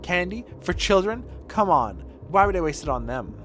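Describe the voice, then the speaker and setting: A man's voice: high-pitched, very animated, moderate pace, a bit bright, a little hiss or hum, pitched above the performer's own voice. A man voicing a guarded, prickly woman from a video game, snappish and taunting as he reads her dialogue. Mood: taunting, playful. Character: guarded, prickly woman, mocking and candy-obsessed.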